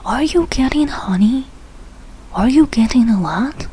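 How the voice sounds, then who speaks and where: A woman's voice: high voice, animated, a little slow, dark tone, a little hiss or hum, voice pushed up. A woman voicing a curious little bear cub from a storybook, asking simple, inquisitive questions. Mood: eager questioning.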